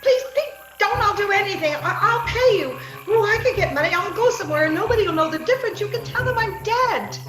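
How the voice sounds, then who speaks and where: A woman's voice: very high-pitched, expressive, a little fast, over music. A woman playing a flustered, wheedling captive in a 1940s-style radio comedy, chattering with stammers and dithering, over a roomy video call. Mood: terrified pleading. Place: remote video-call performance.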